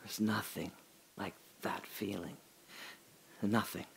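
A man performing a reflective audio drama monologue, speaking slowly and often dropping to a whisper. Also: fairly low pitch, fairly even intonation, a bit bright, low-quality recording. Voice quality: slow, gravelly and husky, often dropping to a whisper. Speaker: radio drama narrator and lead.

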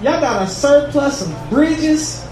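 A man's voice: very high voice, lively intonation, slow, music in the background. A man in his thirties doing a casual, profane stand-up set at an open mic in a bar. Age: 30s. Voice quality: casual, profane, drawling delivery. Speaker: stand-up comedian. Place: bar comedy room. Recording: echoey bar room, distant and noisy. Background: audience ambience.

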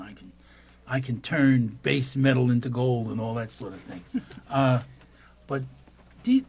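A man's voice: medium pitch, creaky, slightly rough. An older man hosting a radio talk show from a small studio, musing at length into a studio mic. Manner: musing, speechifying. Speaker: radio host. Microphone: studio mic on boom.

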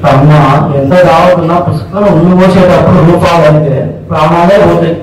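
High-voiced protective miner, very echoey man acting. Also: fairly even intonation, a little fast, darkish tone, distant mic, lightly acted. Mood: cautioning. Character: cautious, protective older-brother coal miner. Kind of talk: amateur melodramatic stage play acting. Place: open-air stage, distant camera. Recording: echoey stage from afar, faint noise.

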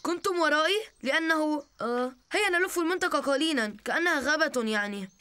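A very high, bright cartoon boy. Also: fast. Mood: cheeky. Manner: excitable, cheeky, dramatic. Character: mischievous excitable cartoon cat boy.

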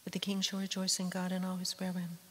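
A woman intoning psalms and prayers in a steady monotone, with soft whispery edges on her words. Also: lowish voice, fast talker. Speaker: liturgical reader. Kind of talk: solemn psalm reading. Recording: echoey church hall with hiss.

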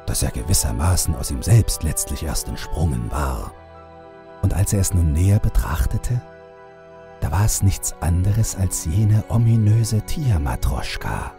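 A man narrating a horror audiobook in a theatrical, deliberate literary storytelling manner. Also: low voice.

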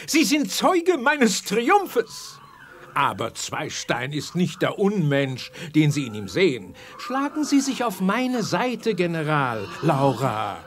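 An actor playing a pompous, gloating megalomaniac scientist villain in a theatrical science-fiction radio play. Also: male voice, a little high, wildly swooping pitch, slow pace, a little hiss or hum, full character voice. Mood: smug, commanding. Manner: pompous, theatrical, speaks of himself in third person.

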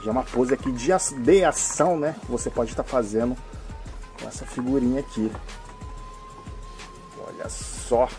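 A man's voice: medium pitch, wildly swooping pitch, normal speed, long pauses, darkish tone. Man with a mixed São Paulo and Rio accent, casual enthusiastic reviewer, off-screen camera mic, light music bed. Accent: mixed São Paulo and Rio de Janeiro Brazilian Portuguese. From Brazil. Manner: chatty, fills with "né?" and "hein?", enthusiastic. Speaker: toy reviewer.

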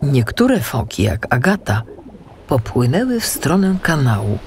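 A man tells a children's story as a scripted audiobook narrator. His delivery is slow and expressive, with a lively melody and some vocal fry, over faint background noise. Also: mid-range voice.

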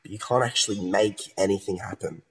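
An actor playing a troubled murder suspect with two personalities, brooding and erratic, in a modern radio play. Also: male voice, on the low side, steady tone, a little fast, breathy edge, rough recording. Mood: uneasy, confessing.

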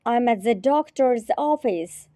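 A woman teacher repeating short phrases slowly and carefully in a repetition drill. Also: a little high, lively intonation, noisy background. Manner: repeats each phrase three times, carefully.

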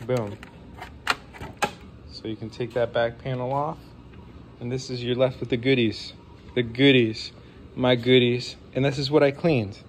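A man's voice: mid-range voice, steady tone, a little slow, pauses often, background noise. A man in his forties with a sniffly nose, chatting casually and enthusiastically while taking apart a console at his home desk, with rambling apologetic asides. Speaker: vlogger modding a game console. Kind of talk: casual hands-on tech talk.

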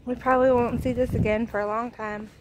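A woman's voice: on the high side, little pitch movement, a little fast, noisy background. A woman with a Southern Alabama accent chatting casually and with amusement while filming outdoors on her phone. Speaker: vlogger. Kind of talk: casual video diary. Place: outdoors in a snowy yard, at home. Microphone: phone camera held while filming.

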